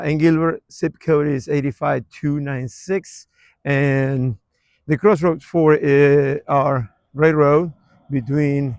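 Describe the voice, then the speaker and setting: A man, giving a relaxed, rambling walking tour of his old neighborhood outdoors, with lots of fillers and restarts. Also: mid-range voice, gravelly, no room sound. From Argentina. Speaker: real estate vlogger.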